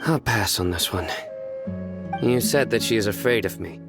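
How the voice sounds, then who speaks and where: A man's voice: lowish voice, moderate pace, natural voice. A man voicing a stern young male warden in a video game, speaking curtly. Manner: curt, stern. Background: music.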